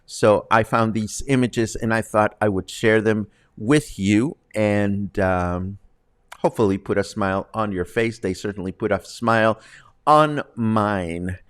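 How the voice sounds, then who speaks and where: A man's voice: fairly low pitch, unhurried, clear, resonant tone, no echo, clean studio sound. A man presenting as a show host in a chatty, conversational way with wry asides. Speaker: host presenting news and interviews.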